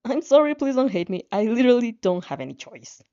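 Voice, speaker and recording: Woman acting a flustered, pleading young protagonist, high-pitched. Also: animated, a little fast, warm tone, dull audio, close to an everyday voice. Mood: desperate pleading. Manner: stammering, pleading, insistent.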